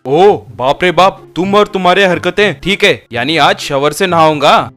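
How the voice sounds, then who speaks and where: A man's voice: a little high, normal speed, fairly bright tone, faint music behind, close to an everyday voice. A man playing a put-upon young husband, complaining sardonically in a comic story. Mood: exasperated.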